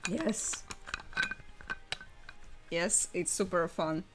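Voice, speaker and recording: A woman's voice: fairly low pitch, extremely slow, loud music behind the voice. A young woman streaming live and chatting casually, giggling and laughing often as she talks.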